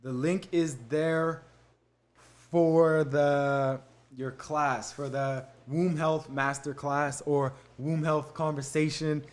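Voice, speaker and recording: Relaxed young man chatting on a livestream. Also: on the high side, takes it slowly. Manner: easygoing, reads comments aloud and thanks viewers; fillers and laughs between answers. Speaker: livestream host answering viewer questions. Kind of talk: casual live-stream chat.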